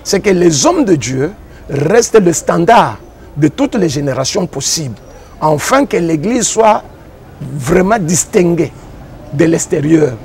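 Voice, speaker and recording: A man with a Congolese French accent, a pastor and engineer, answering interview questions in a measured way and repeating phrases as he defines ideas. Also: fairly high pitch, big pitch swings, a little mellow. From Democratic Republic of the Congo.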